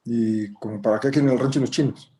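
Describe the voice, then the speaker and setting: A man's voice: mid-range voice, steady tone, very fast, dark tone, lightly acted. A man playing a stubborn, tired old Mexican rancher father who mixes English and Spanish in a screenplay table read. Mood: weary, concerned.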